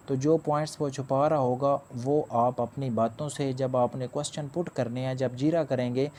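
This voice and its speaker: A man's voice: medium pitch, mellow, slight background noise. A man giving a spoken law lecture. He explains step by step, restates each point and mixes in English legal terms. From Pakistan.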